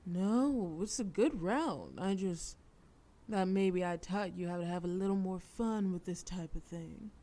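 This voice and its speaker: A woman's voice: lowish voice, a little slow, dark tone, lightly acted. A woman playing a smug female mafia boss. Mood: cocky, teasing. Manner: smug, teasing, commanding. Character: cocky, flirtatious female mafia boss, ruthless planner. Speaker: audio roleplay performer. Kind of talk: scripted audio roleplay.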